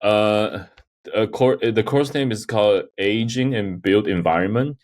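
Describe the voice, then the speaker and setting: Deep-voiced man. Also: measured pace, darkish tone. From Taiwan. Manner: rambling, many "like" fillers, laughs often. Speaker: guest, design researcher and teaching assistant. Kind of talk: casual interview chat.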